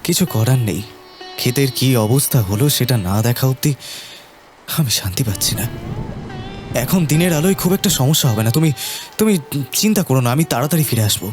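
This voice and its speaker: A man voicing a worried, gentle village farmer in a cartoon, hesitant. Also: mid-range voice, animated, a little fast, long pauses, music in the background, close to an everyday voice. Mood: uneasy. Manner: anxious, hesitant, repeating words. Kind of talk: cartoon voice acting.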